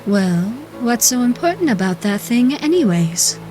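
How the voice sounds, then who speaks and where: A woman's voice: on the high side, very animated, measured pace, warm tone, soft background music. An actress playing a wry, casual woman adventurer in an audio drama. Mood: amused curiosity.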